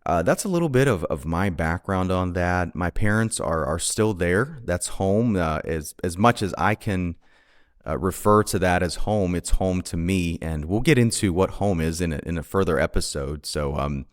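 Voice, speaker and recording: A man's voice: deep voice, animated, moderate pace. A man in his thirties hosting a solo podcast, talking through his past unscripted in an earnest, reflective way, often repeating words and restarting sentences. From Honduras. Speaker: podcast host.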